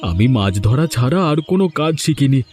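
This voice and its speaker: A man's voice: mid-range voice, very animated, normal speed, a little mellow, lightly acted. A man voicing a poor, desperate village fisherman in a cartoon, plaintive and deferential, with a West Bengal Bengali accent. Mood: desperate pleading. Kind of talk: cartoon voice acting. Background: music.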